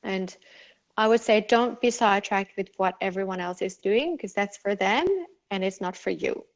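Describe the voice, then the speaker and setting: A woman's voice: mid-range voice, normal speed. Chatty Norwegian-accented woman. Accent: Norwegian, partly faded. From Norway. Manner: chatty, many fillers and restarts. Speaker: clinic owner guest. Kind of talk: casual interview answers.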